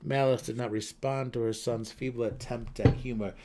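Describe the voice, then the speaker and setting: A man giving a dramatic, theatrical reading of a fantasy novel as an audiobook narrator, with measured phrasing. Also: mid-range voice, steady tone, dark tone.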